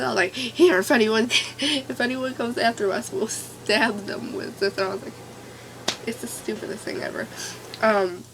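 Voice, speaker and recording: A woman's voice: on the high side, slight background noise, poor audio. Stuffy-nosed young woman rambling. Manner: rambling, filler-heavy, excitable. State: stuffy nose. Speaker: vlogger. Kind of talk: casual storytime.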